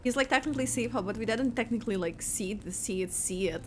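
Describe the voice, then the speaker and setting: A woman giving casual, expressive live commentary on a video game, thinking aloud as she reacts. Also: fairly high pitch, fast talker. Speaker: gamer reacting to story quest.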